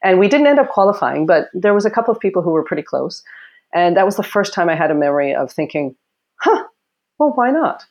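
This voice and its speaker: A woman in her forties from Canada, a former Olympic fencer with a loud voice, telling stories in a casual interview with quick laughs and acted quotes. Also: fairly low pitch, sing-song, lo-fi sound.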